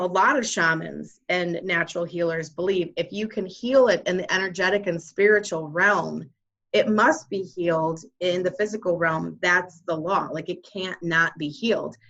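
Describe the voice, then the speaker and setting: A woman's voice: on the low side, a bit bright. A woman hosting a wellness podcast and explaining energy healing in an earnest, conversational way. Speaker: podcast host and Reiki practitioner. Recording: dull lo-fi sound.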